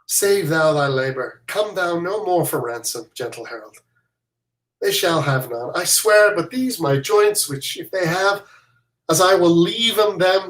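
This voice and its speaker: A reader takes on a resolute, defiant king. The voice sits low and measured, with a roomy echo around it. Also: a bit bright, distant mic. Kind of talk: reading a play aloud.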